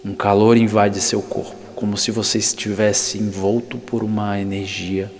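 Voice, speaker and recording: A man with a Brazilian accent narrating an ASMR guided visualization in a soothing, deliberate manner with long pauses. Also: lowish voice, level tone, darkish tone. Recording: long reverb, faint hiss and ambience.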